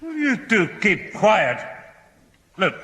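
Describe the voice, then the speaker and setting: Impatient gruff father, male, sharp forceful tone, radio sitcom, echoey hall. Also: high-pitched, big pitch swings, extremely slow, exaggerated voice. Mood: irritable. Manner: blunt, impatient. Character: gruff, irritable, bossy father, impatient with fools. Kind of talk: British radio sitcom acting.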